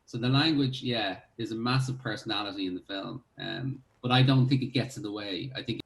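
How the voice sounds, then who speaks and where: A man's voice: on the low side, fairly quick, vocal fry, roomy sound, low-quality recording. An Irish man, a filmmaker, answering interview questions in a reflective way with many fillers and restarts. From Ireland. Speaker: filmmaker who cast the film.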